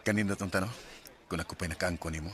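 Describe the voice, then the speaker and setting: A man's voice: low voice, fairly even intonation, racing, very noisy, natural voice. A man with a Cebuano Filipino accent playing a smitten, earnest coworker in a radio drama. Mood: flirting. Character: smitten male coworker, earnest romantic suitor.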